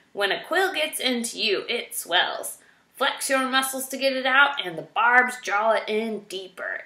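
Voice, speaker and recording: A crotchety old male porcupine from a children's storybook, acted out by a woman reader, blustering and indignant. The voice is forceful and jumps up and down in pitch, recorded in a roomy space. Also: exaggerated voice. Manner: bellowing, grumbling, indignant.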